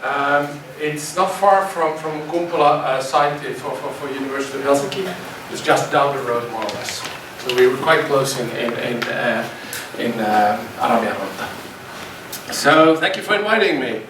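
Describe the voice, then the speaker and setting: A man's voice: mid-range voice, normal speed. Hesitant man in echoey hall. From Finland. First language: Swedish. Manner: hesitant, many restarts and repeated words. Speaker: guest speaker, university lecturer. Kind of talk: seminar talk to students. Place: lecture hall. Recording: echoey hall, distant mic, faint noise.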